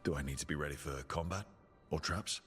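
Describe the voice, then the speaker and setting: A man's voice: a bass voice, a little fast, a bit bright. A man playing an easygoing, inquisitive adventurer in a video game, conversational and dryly playful. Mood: friendly. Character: easygoing, curious male adventurer from an assassin guild. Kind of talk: video game dialogue acting. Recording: music under the dialogue. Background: game music.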